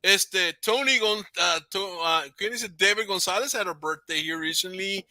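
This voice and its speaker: A man hosting a radio talk show, excitable, dropping in Spanish words, with a New Mexico accent. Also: high-pitched, normal speed, noisy background. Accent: New Mexico Spanglish. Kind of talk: casual chat.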